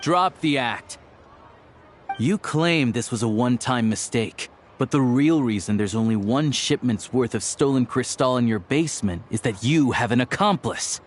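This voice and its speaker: A man's voice: medium pitch, lively intonation, normal speed, slight background noise, lightly acted. A man acting a blunt, shrewd hunter. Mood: accusing. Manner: confident, blunt, matter-of-fact. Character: shrewd, blunt male hunter turned investigator. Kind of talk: dramatic video game voice acting. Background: faint background music.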